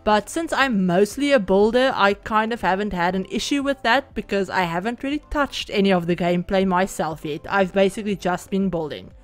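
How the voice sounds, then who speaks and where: A woman's voice: mid-range voice, brisk, hardly any pauses, faint music behind. Chatty Afrikaans-speaking woman, rambling voiceover. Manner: rambling, chatty, many "like" and "yeah" fillers. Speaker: Sims speed-build YouTuber. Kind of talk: casual game voiceover. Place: home, at night.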